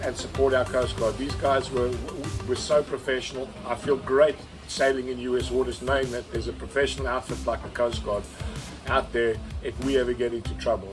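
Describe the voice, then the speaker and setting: A man speaking as a skipper and vlog host, telling a first-person story in an earnest way and repeating words for emphasis. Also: mid-range voice. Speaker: sailing skipper and video host.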